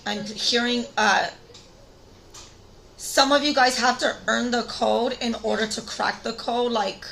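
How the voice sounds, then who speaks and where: A woman in her thirties with a raspy, tired voice, chatting casually to viewers as she reads tarot cards. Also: on the high side, steady tone, unhurried, a bit bright, a little hiss or hum, rough recording. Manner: chatty, talks straight to viewers. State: tired voice from singing. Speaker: tarot reader. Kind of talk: casual spiritual reading.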